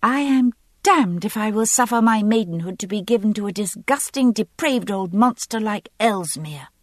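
Woman playing a headstrong, spoilt young noble girl, high-pitched. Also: big pitch swings, normal speed, no echo, studio quality. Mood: defiant. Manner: imperious, petulant, insistent.

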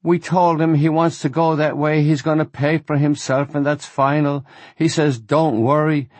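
A man's voice: fairly high pitch, little pitch movement, normal speed, darkish tone, no echo, voice pushed up, lightly acted. A man voicing a distraught older rabbi with a German accent, wailing in exasperation over his son. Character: distraught older male rabbi, anguished father.

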